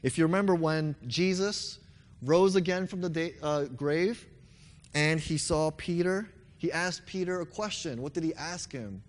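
A young man preaching a sermon with a hoarse voice, earnestly leading his congregation in song. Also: fairly high pitch, measured pace. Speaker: pastor. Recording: long reverb hall.

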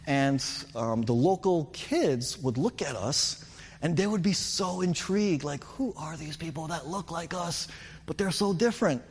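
A man's voice: fairly high pitch, animated, measured pace, a little mellow, husky, in a large hall. A middle-aged man with a New York accent, preaching a sermon as pastor and explaining with rising and falling emphasis.

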